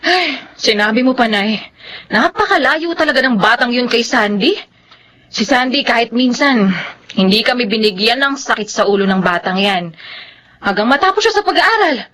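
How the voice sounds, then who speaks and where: A woman's voice: on the high side, lively intonation, moderate pace, sharp tone, slight background noise. A woman playing a worried, exasperated wife and mother in a radio drama, emotional and fretful.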